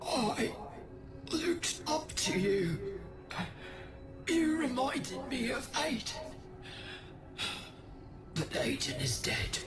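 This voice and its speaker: An actor playing a tormented, lonely young prince gripped by dark power, speaking in an anguished, dramatic video game style. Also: sits high, sing-song, very slow, long pauses, heavily acted. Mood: bitter, grieving. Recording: loud music behind the voice.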